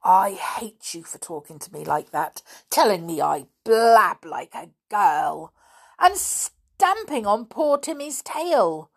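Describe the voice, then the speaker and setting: A woman reader plays a proud young tomboy from a children's storybook, quick to take offence. The delivery swings widely in pitch and blurts out, indignant and headstrong. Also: expressive, gravelly, a little mellow, breathy edge, exaggerated voice. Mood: furious. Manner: indignant, blurting, hesitant when flustered. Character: hot-tempered, proud young tomboy girl. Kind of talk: children's storybook character reading.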